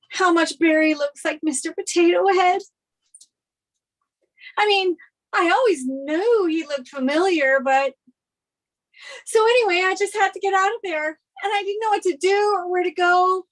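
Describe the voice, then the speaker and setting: Woman playing a very high-voiced flustered young bride. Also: measured pace, pauses often, low-quality recording. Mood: frantic. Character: flustered young runaway bride, pleading and anxious. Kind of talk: sitcom table read.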